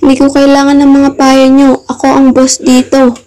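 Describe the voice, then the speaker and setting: Woman doing stubborn kid boy in a puppet play, high pitched, noisy audio. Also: monotone, moderate pace, warm tone, natural voice. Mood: defiant. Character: stubborn, playful young boy who defies his parents. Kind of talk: puppet show acting. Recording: clear background noise.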